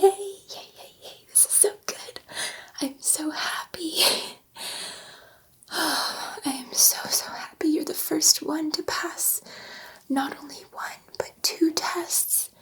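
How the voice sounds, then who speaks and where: Woman playing a gentle whispering alien scientist. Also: high voice. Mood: delighted. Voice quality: soft breathy whisper. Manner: soothing, slow, repeating words reassuringly. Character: gentle, excitable female alien scientist soothing an abducted human. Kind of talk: ASMR roleplay.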